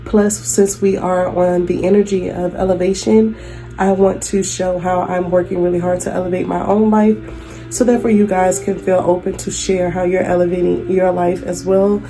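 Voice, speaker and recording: A woman's voice: on the low side, flat delivery, normal speed, warm tone. A young woman with a stuffy nose from a cold, chatting casually as she reads tarot cards in her bedroom, often checking in with the viewer. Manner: chatty, affirming, checks in with "okay?". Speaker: tarot reader. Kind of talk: casual tarot reading vlog. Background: jazz music playing.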